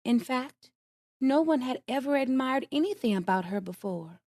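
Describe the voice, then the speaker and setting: A woman reading a storybook aloud at bedtime, gentle and expressive, in a measured way. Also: sits high, no room sound, studio quality.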